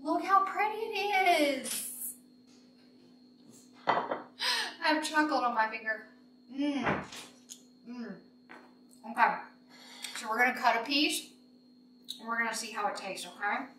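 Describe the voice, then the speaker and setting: A woman's voice: sits high, animated, slow pace, pauses often. A woman in her thirties hosting a casual home baking demonstration, chatting informally and directly to viewers. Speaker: home baking vlog host. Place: home kitchen, indoors. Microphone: camera a few feet away. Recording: echoey kitchen, background noise, dish clinks.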